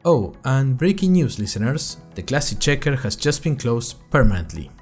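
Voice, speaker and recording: A man's voice: mid-range voice, big pitch swings, moderate pace, soft background music. A man playing an anxious young college freshman who narrates his podcast in a wry, rambling, self-conscious monologue. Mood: wry, hesitant. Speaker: narrator and host of a fiction podcast. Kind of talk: scripted first-person audio drama monologue.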